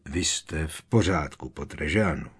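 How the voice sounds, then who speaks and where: A man's voice: rumbling low, husky, pitched below the performer's own voice. A man voicing a grizzled, war-hardened old male professor with a stern, commanding delivery. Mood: gruff hushed.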